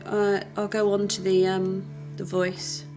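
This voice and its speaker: Mid-pitched woman chatting over music. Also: completely flat delivery, a little slow. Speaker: documentary participant. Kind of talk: casual chat. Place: home conservatory.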